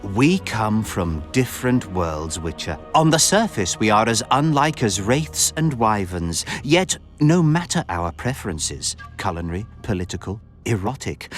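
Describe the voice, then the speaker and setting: An actor playing a suave, scheming count in a video game, speaking in florid, measured and insinuating phrases. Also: male voice, mid-range voice. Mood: silky persuasion. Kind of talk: video game cutscene dialogue.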